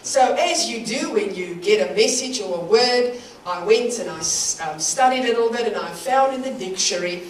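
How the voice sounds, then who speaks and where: A woman's voice: fairly high pitch, lively intonation, normal speed, a little hiss or hum. A middle-aged woman from South Africa with a South African accent, giving a slightly nervous church sermon with light laughs between phrases. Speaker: guest preacher. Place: church hall. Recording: roomy church hall from far off.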